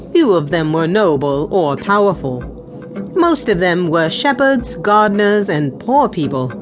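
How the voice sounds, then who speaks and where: A woman narrating a children's storybook audiobook with an expressive storytelling delivery. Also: mid-range voice. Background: background music.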